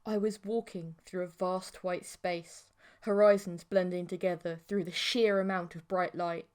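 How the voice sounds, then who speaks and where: A woman reading a scripted story as an audiobook narrator, in a measured, theatrical way. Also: fairly low pitch, fairly even intonation, darkish tone.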